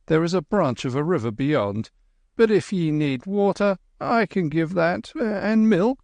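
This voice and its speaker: A man voicing a blustering, angry male market gardener who shouts at strangers. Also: sits high, expressive, a little slow, dry recording, voice pushed up. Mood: flustered.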